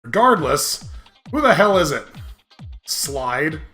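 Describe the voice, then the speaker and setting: A man's voice: fairly high pitch, very animated, slow, sharp tone, echoey room, faint music behind. A man co-hosting a gaming show, reading aloud and bantering casually in a crude, jokey manner.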